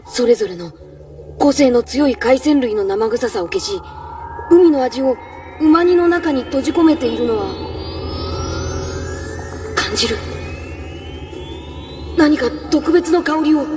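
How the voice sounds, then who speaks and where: A woman's voice: sits high, expressive, a little slow, pauses often, distant mic, music in the background. A woman voicing an earnest, defiant young boy cook in a Japanese anime, speaking with fervent emphasis. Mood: focused.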